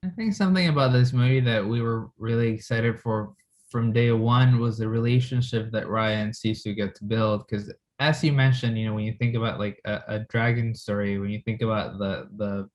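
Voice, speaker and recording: A man being interviewed as a filmmaker, talking casually and reflectively, with halting phrasing. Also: on the low side, fairly even intonation. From grew up in the West.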